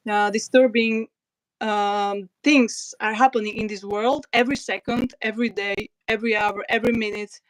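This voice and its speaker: A woman's voice: mid-range voice, steady tone, moderate pace, dry recording, rough recording. A woman from Slovakia with a Slovak accent, hosting a casual livestream and reading out viewer comments, hesitant with many fillers. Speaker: livestream host.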